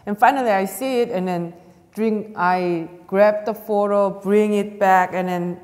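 A woman's voice: medium pitch, fairly even intonation, unhurried, a little mellow. A woman from South Korea, speaking English with a Korean accent, telling an earnest story as an astronaut panelist who laughs often. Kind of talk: panel talk. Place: large event hall, on stage. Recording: long reverb of a large hall.

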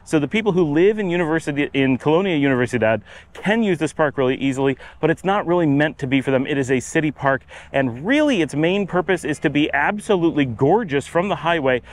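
A middle-aged man chatting as a travel vlogger, explaining things casually with lots of filler pauses while walking outdoors in a sunny park, camera at arm's length. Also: fairly high pitch, expressive. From United States. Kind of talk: casual walking vlog narration. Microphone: camera held at arm's length.

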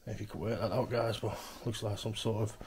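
A man's voice: fairly low pitch, fairly even intonation, fairly quick, darkish tone, a little hiss or hum. A man with a Stoke-on-Trent English accent, giving casual vlog commentary as an urban explorer. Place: inside a derelict abandoned building.

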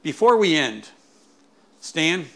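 A man's voice: a little high, sing-song, slow pace, a bit bright. Man giving an informal workshop tour. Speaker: woodturner giving a shop tour. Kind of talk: informal explanatory walkthrough. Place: small garage workshop.

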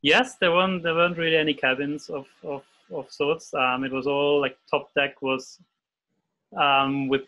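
Austrian-accented man with a bright voice. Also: on the high side, level tone, moderate pace. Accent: Austrian German. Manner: hesitant, many fillers and restarts. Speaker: guest illustrator. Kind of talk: casual interview. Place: home room at a desk. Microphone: video call. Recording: dull video call audio.

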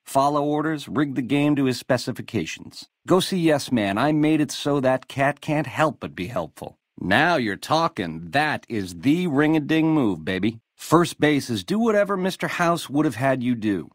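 An actor playing a slick, cocky American casino gangster boss in a video game, swaggering through retro lounge slang. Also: male voice, medium pitch, animated, moderate pace, few pauses, no echo, clean studio sound, lightly acted. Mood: conspiratorial. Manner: swaggering retro lounge-lizard slang, calls people baby. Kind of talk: video game character dialogue.

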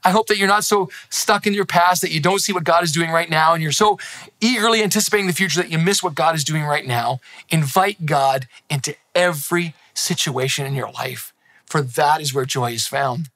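A man's voice: fairly high pitch, fairly quick. A man in his fifties, a pastor grown up in Thailand, giving an earnest, encouraging sermon from a living room. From grew up in Thailand, now in Canada. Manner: earnest, encouraging, animated hand gestures. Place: living room with Christmas decor, indoors. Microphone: close camera, seated.

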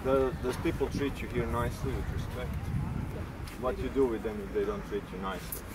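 A man's voice: mid-range voice, fairly even intonation, fairly quick. A young man with a Croatian accent doing a teasing, mock-serious street interview in a town park. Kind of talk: joking vox-pop interview. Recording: outdoor street noise, mic at a distance.

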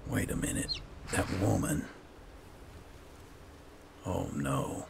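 A man's voice: low-pitched, slow pace, vocal fry, slight background noise. A man casually commentating on a video game, roleplaying and musing aloud to himself. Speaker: gaming host doing roleplay playthrough.

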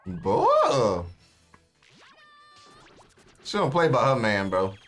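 A man's voice: medium pitch, huge pitch swings, extremely slow, a bit bright, faint music behind. A young American man chatting casually and slangily as he reacts to a show. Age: young adult. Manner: slangy, informal. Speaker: anime reaction host. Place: small indoor room, seated.

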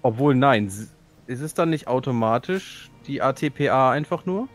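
A man's voice: mid-range voice, expressive, moderate pace, faint music behind. A man chatting casually as a player in a tabletop role-playing game.

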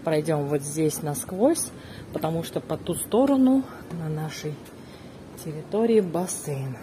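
Middle aged woman vlogging on her phone, lowish voice, gushing, lots of noise. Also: very animated, measured pace. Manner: effusive, exclaiming. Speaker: family vlogger filming and narrating. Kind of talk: casual vlog narration to viewers. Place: resort grounds, corridors and poolside. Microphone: handheld phone camera. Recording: noisy handheld travel vlog recording.

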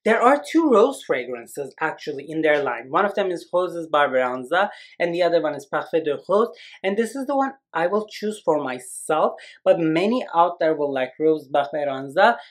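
A man in his thirties from Turkey, giving an animated, expressive perfume review to camera in a small room. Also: normal speed, few pauses. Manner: animated, expressive, lively swings in pitch and volume. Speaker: fragrance reviewer. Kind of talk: casual video review. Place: small indoor room against a plain wall.

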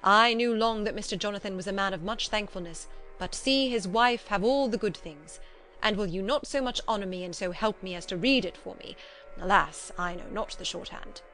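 A woman's voice: mid-range voice, normal speed, a bit bright, lightly acted, low-quality recording. Kindly, solemn old foreign doctor played by a woman, effusive and emphatic, courtly broken English, storybook style, music behind. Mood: courteous, grateful.

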